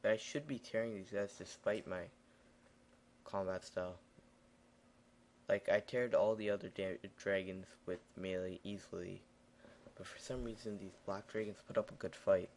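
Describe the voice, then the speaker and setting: Brief: tired, raspy male gaming narrator, low gravelly flat voice, rambling casual voiceover, faint hiss behind. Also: normal speed. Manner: rambling, with many fillers and restarts.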